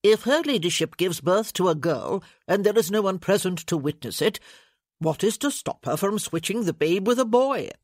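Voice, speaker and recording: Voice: mid-range voice, expressive, normal speed, no room sound, clean studio sound, lightly acted. Narrator putting on a fussy, pompous little lord. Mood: insistent. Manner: prattling, insistent, officious. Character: puffy, over-eager, pompous little male lord.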